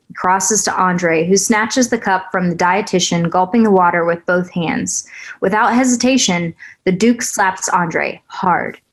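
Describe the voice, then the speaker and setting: A woman reading stage directions aloud at a script table read, even and matter-of-fact. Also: medium pitch, rough recording.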